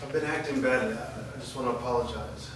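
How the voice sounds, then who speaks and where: A man's voice: mid-range voice, fairly even intonation, fast, natural voice. A man playing an adult live-in boyfriend with a drinking habit in a staged drama reading. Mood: apologetic. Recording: echoey room, distant mic, clear noise.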